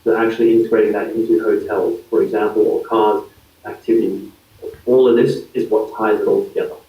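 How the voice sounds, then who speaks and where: A man in his thirties with an Australian accent, explaining his work as a guest in a relaxed interview, with many fillers and restarts. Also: lowish voice, a little fast. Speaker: guest, digital consultant. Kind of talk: casual interview answers. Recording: far from mic, echoey, dull lo-fi.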